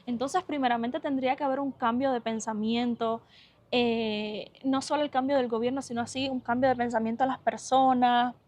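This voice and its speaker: A young woman from Cuba speaks straight to her followers in a slightly high voice, her Cuban accent softened. She talks earnestly and from the heart, pausing on fillers and restarting phrases as she thinks. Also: steady tone. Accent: Cuban Spanish, softened. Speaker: vlogger. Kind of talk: casual talk to viewers.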